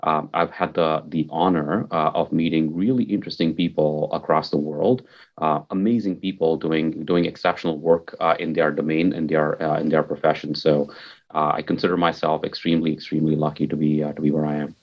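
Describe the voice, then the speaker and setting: A man speaks in an extremely deep register as an interview guest. He is earnest and positive, often repeating a word to stress it. The audio is a little dull and lo-fi. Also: level tone, fairly quick. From Mumbai, India. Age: 40s. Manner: upbeat, earnest, emphatic repetition. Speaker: podcast guest, technology executive. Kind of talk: conversational interview answers.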